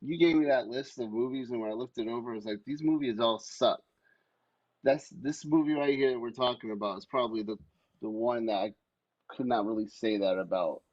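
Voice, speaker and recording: Man, blunt casual debater, mid pitch, swears freely, dull muffled recording. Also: fairly quick, a little mellow, dry recording. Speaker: podcast co-host. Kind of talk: casual opinionated podcast debate.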